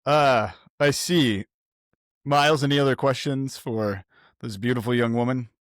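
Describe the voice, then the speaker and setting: Male roleplay player, mid pitch, casual, jokey, halting delivery, dry close sound. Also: no echo. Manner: joking, halting, slangy.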